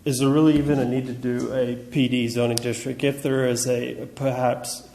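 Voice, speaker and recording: A man on the council raising a question in a measured, hesitant way. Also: medium pitch, level tone, gravelly, dark tone, a little hiss or hum. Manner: measured, hesitant, thinks aloud. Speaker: council member. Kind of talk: council meeting discussion. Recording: echoey meeting room.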